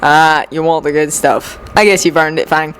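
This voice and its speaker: A woman voicing a gruff, teasing male brawler who talks in a casual, coaxing way. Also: sits low, steady tone, moderate pace, a little hiss or hum, voice pushed down, lightly acted. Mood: gruffly approving.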